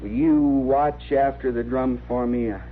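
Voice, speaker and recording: A man plays a simple-minded young man of the frontier, humble, polite and halting, in a mid-pitched voice. The radio drama sounds muffled, with light hiss. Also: steady tone, natural voice. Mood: humble, earnest. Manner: halting, polite, folksy grammar. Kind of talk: old-time radio western acting. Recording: muffled, noisy old radio recording.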